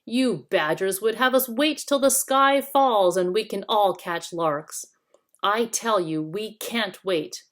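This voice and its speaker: A woman's voice: mid-range voice, takes it slowly, fairly bright tone. A woman voicing a bitter, quarrelsome male dwarf rebel with a scornful manner, in a storybook reading. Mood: impatient scorn.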